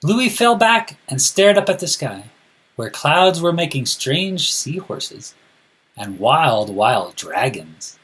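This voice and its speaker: Male storyteller for young kids, slow and deliberate, with lively melodic swings and a direct, teacherly address. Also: fairly high pitch, breathy edge. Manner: addresses children directly, deliberate, animated phrasing. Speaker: school counselor reading a picture book to children. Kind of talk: expressive children's read-aloud.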